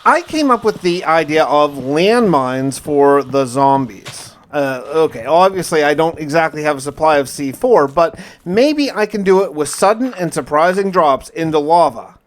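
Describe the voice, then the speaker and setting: A man's voice: on the high side, expressive, moderate pace, few pauses. A man narrating his survival gameplay like a diary, telling it casually but dramatically, with wry asides. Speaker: gamer narrating a survival challenge.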